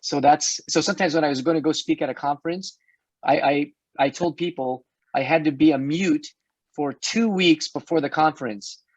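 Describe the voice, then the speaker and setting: A middle-aged man hosting an online health talk, chatty and hoarse, often restarting his words and laughing. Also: fairly high pitch, normal speed, no echo. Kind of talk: casual panel chat. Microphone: video call. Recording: dull dry video-call audio.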